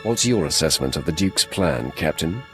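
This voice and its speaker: An actor playing a cold, commanding Sith warrior in a video game, curt and imperious. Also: male voice, extremely low pitch, animated, moderate pace, music in the background. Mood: businesslike. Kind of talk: video game character acting.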